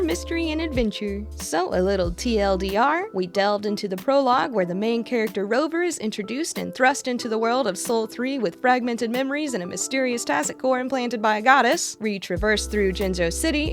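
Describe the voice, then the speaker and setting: A woman reading a scripted recap of a video game's story in a dramatic storytelling manner, as the narrator of a lore video. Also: fairly high pitch. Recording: voice-over with clear background music. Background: music.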